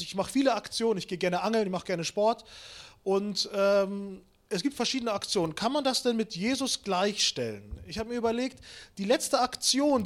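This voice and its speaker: A man in his late twenties, born in Kazakhstan, preaches while moving about. His voice sits high for a man. He mixes Bible reading with playful, self-mocking anecdotes. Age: 20s. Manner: lively storytelling with self-mocking humor, walks around while preaching. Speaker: pastor. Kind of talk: sermon.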